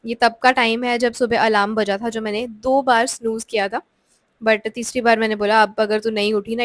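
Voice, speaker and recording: A woman's voice: a little high, no echo. A young woman, narrating her vlog in a chatty voice-over and mixing in English, with a sore throat from a cold. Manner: chatty Hinglish storytelling. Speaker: vlogger. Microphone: close voice-over mic.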